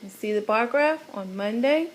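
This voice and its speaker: A woman reading a children's picture book aloud, animated and teacherly, pausing to explain the pictures. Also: fairly high pitch, a little hiss or hum.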